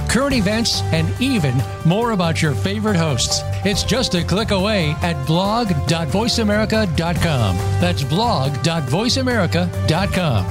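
A male network announcer reads radio promos in a high, bouncy voice that swings widely in pitch. His delivery is polished and upbeat, with a loud music bed under it.

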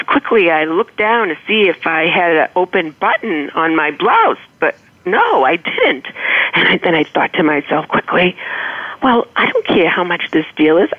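A woman with a Boston accent, a radio show guest telling a lively anecdote with laughter. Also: on the low side, slightly rough. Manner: animated, laughing storyteller. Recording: phone-line call-in audio.

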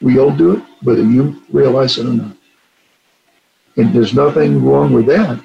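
Gravelly older man telling stories. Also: medium pitch, normal speed, highs cut off. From Puerto Rico. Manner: earnest, animated when acting out dialogue. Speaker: retired general, guest. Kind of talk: storytelling interview answers.

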